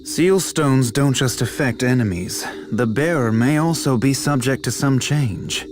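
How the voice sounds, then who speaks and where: Fantasy game sage, played by a man: composed, formal, grave, mid-pitched, explanatory, with prominent music underneath. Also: measured pace, natural voice. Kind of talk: video game voice acting. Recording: music behind the voice.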